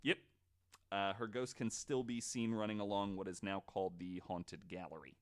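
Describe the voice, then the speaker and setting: A man's voice: on the low side, fairly even intonation, moderate pace. A man hosting a podcast, telling stories casually from notes with informal, sweary asides. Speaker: podcast host.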